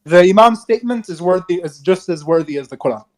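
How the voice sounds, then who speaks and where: Young guy on a video call, kinda high voice, rambles and restarts a lot. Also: animated, fast. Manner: hesitant, rambling explanations with restarts. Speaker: caller. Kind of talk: debate over video call.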